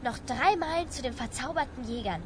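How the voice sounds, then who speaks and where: A woman's voice: high voice, expressive, brisk. An actress playing a wilful, bratty adolescent girl in a radio drama, pert, teasing and bossy. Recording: clearly noisy ambience behind.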